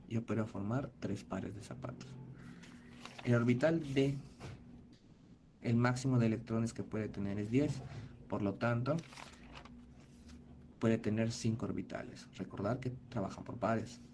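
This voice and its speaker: A man's voice: mid-range voice, a little mellow, slight background noise. A male teacher giving an online class, explaining methodically step by step and checking in with his students.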